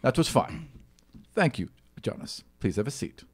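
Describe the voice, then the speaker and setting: A man's voice: mid-range voice, big pitch swings, moderate pace, husky. A man voicing a stern, formal archon who instructs demigods in a tabletop game. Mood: dryly dismissive. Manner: commanding, formal.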